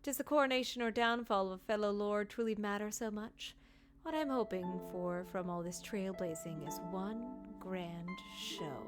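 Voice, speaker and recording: A woman game streamer giving live playthrough commentary in an expressive, theatrical way. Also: mid-range voice, moderate pace. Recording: loud game music behind voice. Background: game music.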